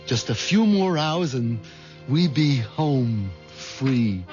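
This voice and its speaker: A man's voice: medium pitch, expressive, slow, darkish tone. A man acting a nervous, hesitant American husband caught up in a murder plot, in a 1970s radio drama. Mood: grim, confessional. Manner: hesitant, stammering under pressure. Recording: dull vintage radio recording with music behind.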